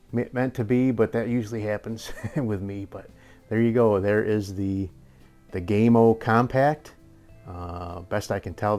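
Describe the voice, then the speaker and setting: An older man with a slightly hoarse voice, rambling through a casual airgun review in a workshop and chuckling often. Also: fairly low pitch, a little mellow. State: a little hoarse. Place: indoor workshop with tool wall. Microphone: camera at a few feet.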